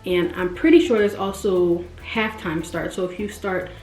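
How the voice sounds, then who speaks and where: A chatty, expressive woman in her mid-twenties talks straight to her viewers in a loose, casual way. Her voice leaps upward when she stresses a point. The sound is roomy and echoey, with soft music underneath. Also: normal speed. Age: 20s. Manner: chatty and expressive, jumps up in pitch for emphasis. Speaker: vlogger. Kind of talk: casual direct-to-camera chat. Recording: roomy echo with faint music.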